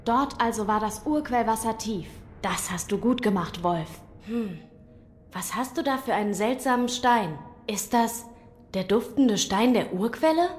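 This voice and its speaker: A woman as a high-voiced young lord. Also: lively intonation, measured pace, a little hiss or hum. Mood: curious, grateful. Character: gentle, thoughtful young noble boy, master. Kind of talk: German audio drama acting.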